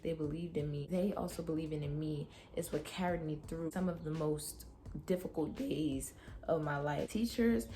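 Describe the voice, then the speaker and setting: Low-voiced young woman, a bit raspy. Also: moderate pace, dark tone, slight background noise. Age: young adult. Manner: candid, emotional, talks straight to viewers. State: raspy throat from a cold night. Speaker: vlogger. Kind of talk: personal reflective vlog. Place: her room.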